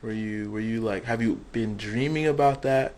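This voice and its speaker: A man's voice: fairly low pitch, normal speed, a little mellow. A young man interviewing a guest in a casual, rambling way, with lots of fillers. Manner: rambling questions, many fillers.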